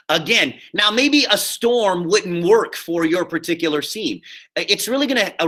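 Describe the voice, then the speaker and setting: Bright-voiced American man teaching casually. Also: a little high. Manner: chatty, checks in often with okay and all right. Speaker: high school theater teacher. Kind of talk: casual instructional screen-share lesson. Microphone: computer mic on a video call.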